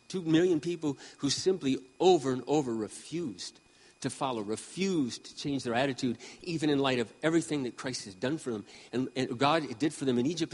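Impassioned older male preacher, lively pitch. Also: husky, big-room sound. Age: 60s. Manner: impassioned, swinging between loud emphatic peaks and quiet asides. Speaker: pastor. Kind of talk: sermon.

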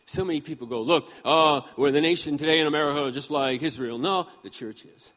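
A man around sixty preaching a sermon with impassioned emphasis, swinging between loud peaks and quiet asides. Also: fairly high pitch, a little fast, long reverb tail. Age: 60s. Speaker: pastor.

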